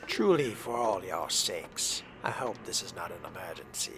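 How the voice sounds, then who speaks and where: A man role-playing a character in a game, speaking in a measured, formal and hushed manner. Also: deep voice, animated, noisy background. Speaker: player role-playing a vampire fledgling.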